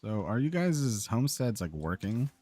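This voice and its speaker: A man's voice: on the low side, sing-song, moderate pace, mellow, dry recording. A man chatting casually on a gaming livestream, informal and sweary as he mutters to himself while playing. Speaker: live streamer playing a video game.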